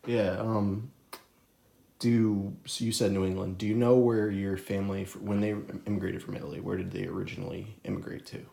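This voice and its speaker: Young guy asking questions, deep creaky voice, echoey room, lots of um and like. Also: flat delivery, a little fast, mellow. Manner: hesitant, lots of fillers and restarts, laughs easily. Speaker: interviewer. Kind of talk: casual student interview.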